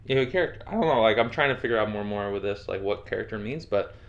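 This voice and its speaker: A man with a somewhat low voice hosts a casual interview and rambles, stacking up fillers as he talks. The audio sounds dull and lo-fi. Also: expressive, racing. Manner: rambling, lots of fillers, chatty. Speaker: podcast host, interviewer.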